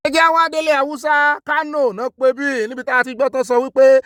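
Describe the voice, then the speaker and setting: A man delivering fast, animated news commentary from Nigeria, excitable, with sudden jumps in pitch. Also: dry recording, studio quality.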